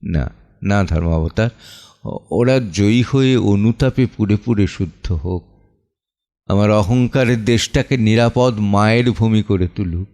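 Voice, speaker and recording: A man playing an anguished, protective middle-aged father in an audio drama monologue, with halting, emotive delivery full of pleas and pauses. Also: lowish voice, a little mellow. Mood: anguished, pleading. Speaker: voice actor and reciter. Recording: music under the voice. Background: background music.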